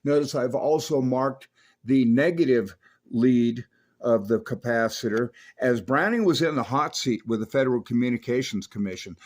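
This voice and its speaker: A man's voice: medium pitch, a little mellow. A man narrating an instructional demonstration as a radio repair technician.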